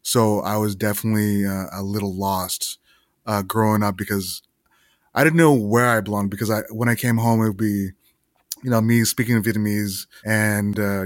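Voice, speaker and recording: A man from Canada, a guest on a podcast, telling his story in a relaxed way with many fillers and restarts. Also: fairly low pitch, dry recording, studio quality. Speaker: guest, video director. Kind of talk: casual interview chat.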